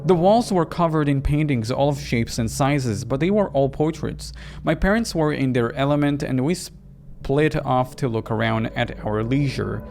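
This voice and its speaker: A man's voice: medium pitch, moderate pace, gravelly, darkish tone, natural voice. A man reading the part of a wary, plain-spoken young woman narrating a horror visual novel. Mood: reflective. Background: music behind the voice.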